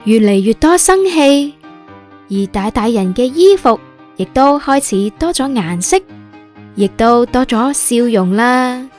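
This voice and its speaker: A woman with a Hong Kong Cantonese accent tells a children's story in a high voice. She takes her time over the scripted narration, with music playing underneath. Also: darkish tone.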